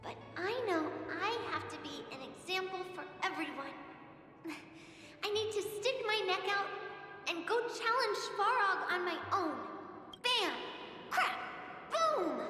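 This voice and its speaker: Young girl, video game, bright voice, earnest wistful storytelling, long hall reverb with music. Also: very high voice, full character voice. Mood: earnest, determined. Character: hopeful sickly young girl fan dreaming of becoming a fighter. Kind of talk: video game voice acting, reading a letter aloud.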